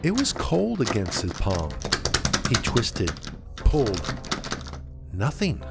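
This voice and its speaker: Male narrator for suspense fiction: mid-pitched, slow and measured, dramatic pauses, widely varied intonation. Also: wildly swooping pitch, vocal fry. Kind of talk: dramatic scripted story narration. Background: music.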